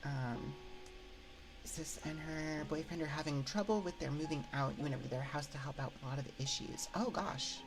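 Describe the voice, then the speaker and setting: A woman's voice: low-pitched, normal speed, rough recording. A woman hosting a livestream, chatting casually with viewers in a hesitant, rambling, giggly way into a binaural ASMR microphone. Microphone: binaural 3Dio ASMR microphone. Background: music.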